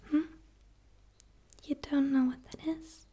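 A young woman playing a gentle, curious alien in a whispered ASMR roleplay, speaking in a soothing, hushed way with pauses, close to the microphone. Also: sits high, level tone. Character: gentle, curious dark female forest alien.

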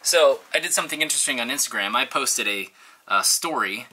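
A man in his thirties with a bright, forward voice and a middling pitch. He talks like a casual vlogger, rambling to his viewers and often feeling his way through a thought out loud. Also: animated, husky. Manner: informal, halting, thinking aloud. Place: inside a parked converted school bus.

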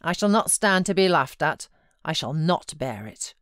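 A woman's voice: deep voice, expressive, moderate pace, fairly bright tone, no room sound. Woman doing a proud sulky british boy from a classic novel, defiant, dry sound. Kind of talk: storybook character reading.

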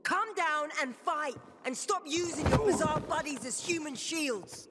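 An actor playing an aggressive, taunting male enemy soldier in a video game, shouting with contempt. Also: very high-pitched, expressive, unhurried, heavy background noise. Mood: contemptuous, challenging.

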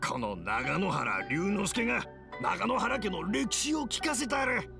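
A man's voice: sits high, sing-song, vocal fry, over music, exaggerated voice. A man voicing a genial, hard-of-hearing old fireworks craftsman with a Kansai accent, hearty and rambling, in an anime game. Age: older. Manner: hearty, rambling, slow to catch on.

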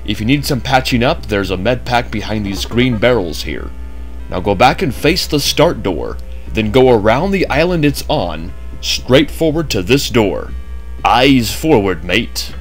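A man's voice: mid-range voice, big pitch swings, measured pace, soft background music. A man narrating a game walkthrough in a chatty way with jokey asides, just woken up. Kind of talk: casual gameplay commentary.

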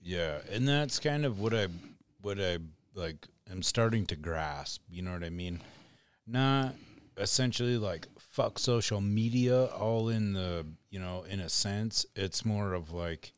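Brief: male podcast host, lower voice, halting and swearing, dry room. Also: clear, resonant tone, no room sound. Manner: loose, halting, swears a lot. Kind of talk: casual chat.

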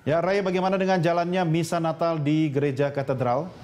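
A man's voice: on the high side, fairly even intonation, fairly quick, noisy background. A middle-aged man anchoring the news in a formal, scripted style in a TV studio.

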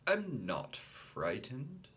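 A man's voice: medium pitch, huge pitch swings, very slow, fairly bright tone, voice pushed up, full character voice. Jacob Tutu, a pleading little boy. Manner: pleading, repeating himself. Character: small boy, whiny and insistent.

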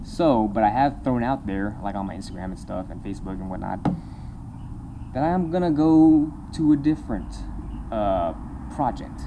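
A man's voice: lowish voice, animated, moderate pace, background noise. Rambling young man vlogging outdoors. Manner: rambling and chatty, laughs often, gestures. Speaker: motovlogger. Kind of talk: casual talking-head vlog. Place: open-air picnic shelter in a park. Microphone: compact camera on a table nearby.

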